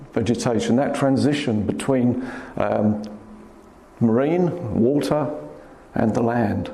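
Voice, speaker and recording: A man with a quiet voice, giving a public talk about birds, gently pointing out places on his slides. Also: medium pitch. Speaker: guest speaker, wildlife photographer. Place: hall at a club meeting. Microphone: speaker's microphone. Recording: echoey hall, faint room noise.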